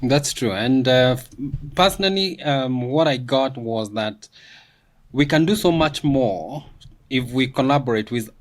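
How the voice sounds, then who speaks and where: A man speaking in a measured, thoughtful way in a conversation, often filling pauses with "you know" and "um". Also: mid-range voice, expressive. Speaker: guest commentator. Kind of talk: reflective conversation.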